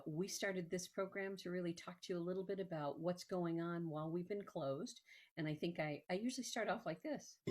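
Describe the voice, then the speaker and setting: A woman hosting a program in an informal promotional style, conversational and halting, with emphatic stresses. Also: sits low, darkish tone. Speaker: host, museum executive director. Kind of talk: informal promotional talk to viewers.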